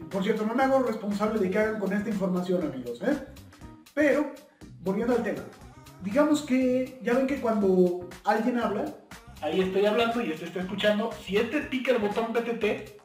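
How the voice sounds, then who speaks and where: High-voiced young Mexican man, echoey. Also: a little mellow. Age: young adult. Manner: friendly direct address to viewers. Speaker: video tutorial host. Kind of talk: informal instructional explanation with practical examples. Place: small bare indoor room at a table. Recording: echoey bare room, distant microphone.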